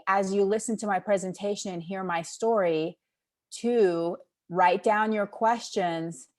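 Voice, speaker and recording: A woman in her forties from Texas, a pediatrician giving a friendly, encouraging webinar presentation over a video call. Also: lowish voice. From Texas, United States. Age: 40s. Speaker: pediatrician, guest speaker.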